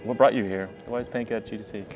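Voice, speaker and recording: A man with a fairly low voice asking questions quickly and casually at a convention. He often trips over his words and starts again. Faint music hums underneath, and his pace stays brisk. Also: fairly even intonation. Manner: quick, friendly, stumbling restarts. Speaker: interviewer. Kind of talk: casual interview. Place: convention floor.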